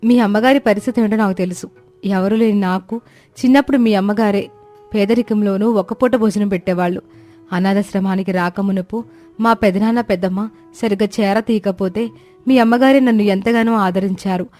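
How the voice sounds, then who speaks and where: A woman voicing a gentle, devoted young orphan woman in a cartoon story, earnest and pleading in manner. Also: mid-range voice, steady tone, a little fast, soft background music, close to an everyday voice. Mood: hurt, pleading.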